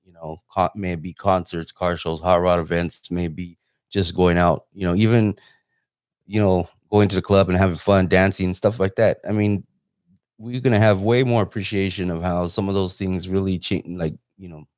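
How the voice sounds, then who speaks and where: A man's voice: low voice, flat delivery, moderate pace, a little mellow, no room sound, clean studio sound. A man hosting a casual live interview, talking in an informal, rambling way. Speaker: interviewer and host; photographer.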